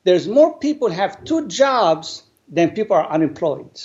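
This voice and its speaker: Man with a higher voice. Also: very animated, darkish tone. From Lebanon. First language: not English. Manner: earnest, deliberate life story with emphatic repetition. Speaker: guest, business owner. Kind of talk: interview storytelling. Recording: dull lo-fi sound.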